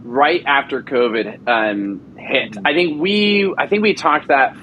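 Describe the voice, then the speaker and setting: A man's voice: mid-range voice, takes it slowly, highs cut off. Male producer guest, mid-range voice, easygoing, faint background hiss. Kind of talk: casual interview chat.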